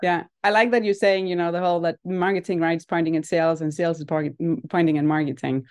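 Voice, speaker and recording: A woman's voice: on the low side, fairly even intonation, quick pace, a little mellow. Encouraging woman podcast host. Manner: encouraging, frequent backchannel agreement, laughs along. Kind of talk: interviewing.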